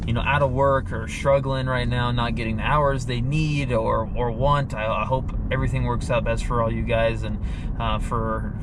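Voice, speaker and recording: A man in his twenties, a car vlogger, chatting casually and rambling with lots of fillers while driving his pickup truck. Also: mid-range voice. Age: 20s. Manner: rambling, filler-heavy, enthusiastic. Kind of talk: casual car review vlog. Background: vehicle noise.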